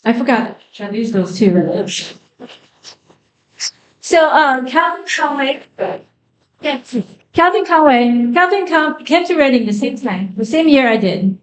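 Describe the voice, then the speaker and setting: A woman with a Chinese accent introducing fellow musicians onstage at a concert, halting and self-correcting with many fillers. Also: sits high, lively intonation. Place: concert hall. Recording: echoey hall, voice from a distance.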